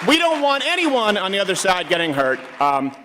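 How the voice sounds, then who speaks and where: A man's voice: sits high, huge pitch swings, a little fast, noisy background. A middle-aged man hosting a live comedy talk show, riffing sarcastically before a crowd. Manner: sarcastic, riffing, mocking voices.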